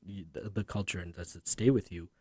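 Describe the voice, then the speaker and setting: Man telling his story, warm and relaxed. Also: sits low, a little fast, husky, no room sound, studio quality. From India. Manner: relaxed storytelling with frequent "right?". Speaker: podcast guest. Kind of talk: conversational interview.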